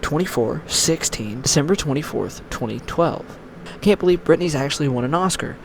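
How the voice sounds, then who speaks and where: A man's voice: a little high, lively intonation, moderate pace, gravelly, a little hiss or hum. A man reading a scripted online horror story as its narrator.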